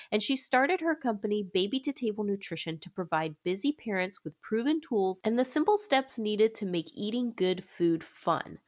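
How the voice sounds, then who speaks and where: Stuffy-nosed woman podcast host. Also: medium pitch. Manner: upbeat, chatty, laughs often. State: congested, stuffy from illness. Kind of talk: casual podcast chat and scripted intro.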